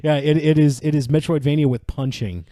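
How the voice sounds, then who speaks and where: A man co-hosting a podcast with excitable, joking, slangy banter and casual swearing. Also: on the high side, brisk, dry recording. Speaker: podcast co-host.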